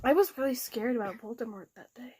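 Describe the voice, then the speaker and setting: A woman's voice: on the high side, fast, warm tone, slightly rough. A woman doing a mock-dramatic fan fiction reading with casual asides, giggly and theatrical.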